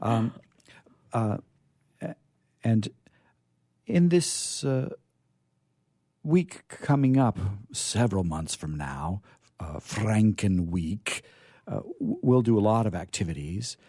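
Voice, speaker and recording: A man hosting a radio theatre show, chatting casually in a halting, rambling, hesitant way. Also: fairly low pitch, very animated, mellow, slightly rough, dry recording, studio quality.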